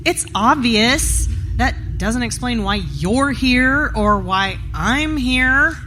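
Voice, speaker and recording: An abrasive, sarcastic woman speaks in a high, swooping voice with blunt, drawn-out scorn. It is live stage drama with noticeable noise behind her. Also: lively intonation. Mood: blunt, dismissive. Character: abrasive, defensive, skeptical woman. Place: theater stage. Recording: noisy live theater stage recording.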